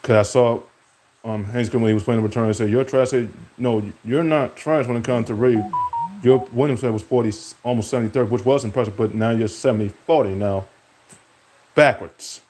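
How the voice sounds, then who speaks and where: A man's voice: fairly quick, darkish tone, poor audio. A young man streaming, rambling from topic to topic in casual talk and speaking to an absent rival. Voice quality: deep voice.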